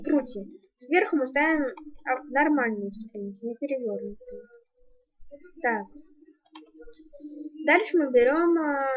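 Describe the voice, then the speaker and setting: A woman's voice: high-pitched, husky. A woman giving a casual game building tutorial, thinking aloud and counting her steps as she goes, on a muffled home microphone. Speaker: YouTuber, gaming channel host. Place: indoors at home, screen-recording voice-over.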